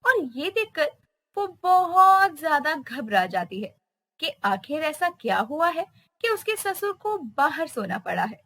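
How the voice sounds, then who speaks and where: A woman's voice: high-pitched, big pitch swings, unhurried, a bit bright. A woman narrating a story expressively.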